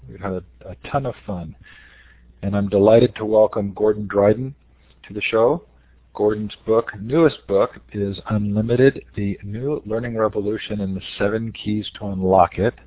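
A slightly hoarse man hosting an online education interview, upbeat, reading announcements with frequent fillers. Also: on the low side, steady tone, moderate pace, no room sound. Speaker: host. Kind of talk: webinar interview introduction. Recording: tinny dry online call audio.

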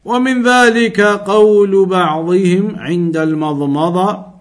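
Male religious teacher, fairly high pitch, deliberate explanatory delivery, repeats points, reads and translates scripture. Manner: deliberate, repetitive explaining, switching between recited Arabic and English. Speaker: Islamic studies teacher reading and explaining a text. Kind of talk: religious lesson, reading Arabic then translating and explaining in English.

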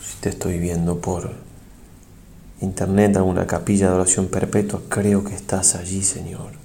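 A man, a priest, leads a guided prayer meditation in a solemn, reverent and measured way, pausing between short phrases. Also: sits low, level tone, gravelly, mellow, slight background noise.

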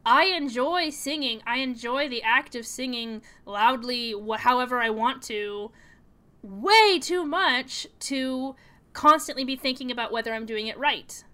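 A young woman talks casually on a podcast, unspooling a long personal story with lots of "uh" and "like". Her voice sits a little high and rings bright and forward. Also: unhurried, smooth. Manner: chatty, storytelling, with frequent fillers. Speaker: podcast co-host.

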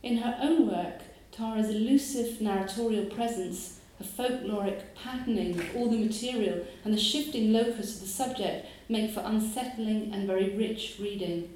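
A woman host giving a measured, formal prepared introduction of a poet at a live event. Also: mid-range voice, a little mellow. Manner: measured and formal, reading from notes. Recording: very echoey room.

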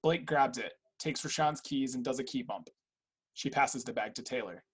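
Husky man reading stage directions. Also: mid-range voice, moderate pace. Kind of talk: scripted narration.

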